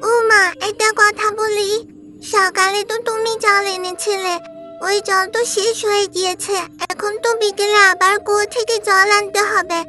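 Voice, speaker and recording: A woman's voice: extremely high pitch, level tone, normal speed. A woman voicing an eager, pleading little village girl in a cartoon. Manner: pleading, childlike. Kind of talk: cartoon voice acting. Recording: music clearly behind the voice.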